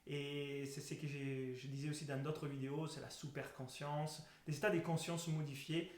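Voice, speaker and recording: A man with an Italian accent explains things informally, as an instructor would. His voice sits a little high for a man. The sound is clearly roomy, with plenty of echo. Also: little pitch movement, moderate pace. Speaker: breathwork method instructor. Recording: echoey room sound.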